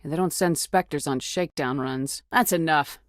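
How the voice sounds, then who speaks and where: A tough female officer in a sci-fi military game. Her voice sits on the low side and is recorded very dry, with no room around it. She speaks with clipped, steady authority and no nonsense. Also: unhurried, dry recording. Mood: firm. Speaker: video game protagonist. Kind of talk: video game dialogue acting.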